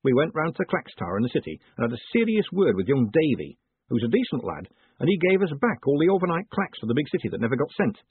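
Guy putting on a fussy folksy little mayor for a storybook, nervous n chatty, swoopy pitch, tinny phone-like audio. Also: sing-song, fast, breathy edge, no room sound. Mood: indignant, conspiratorial. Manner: chatty, repetitive folksy phrasing with tag endings. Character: short, bird-like, nervous, folksy, eager small-town male mayor. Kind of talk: audiobook character dialogue. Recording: muffled, thin phone-like sound.

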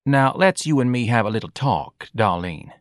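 A man's voice: medium pitch, sing-song, normal speed, no room sound, studio quality. A man voicing a hard-bitten, bossy woman who owns a bar, curt, coarse and scornful, in an audiobook.

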